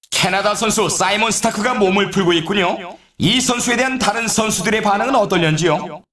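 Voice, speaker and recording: A high-pitched, showy snowboard game announcer. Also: male voice, a bit bright, roomy sound. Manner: emphatic, showy broadcast delivery. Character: excitable male snowboard race announcer in a video game. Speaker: sports announcer. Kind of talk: scripted sports commentary for a snowboard video game.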